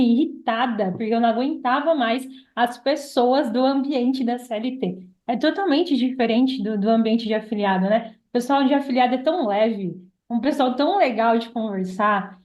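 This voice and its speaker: Podcast guest: Brazilian female, about thirty, higher register, casual storytelling, noticeable room echo. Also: normal speed, hardly any pauses, a little mellow, dull audio. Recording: roomy, echoing sound.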